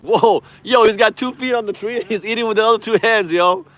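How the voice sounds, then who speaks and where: Higher-pitched man streaming casually, emphatic. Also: brisk, a bit bright. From Iran. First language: Persian. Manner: emphatic, informal, swears freely. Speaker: live stream host. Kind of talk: casual livestream chat and political commentary.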